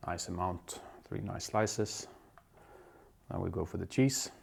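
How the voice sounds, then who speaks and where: A man from Belgium hosting his own cooking show, walking through a recipe in a relaxed, step-by-step way in a home kitchen. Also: low-pitched, takes it slowly, vocal fry, a little hiss or hum. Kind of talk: casual cooking demonstration.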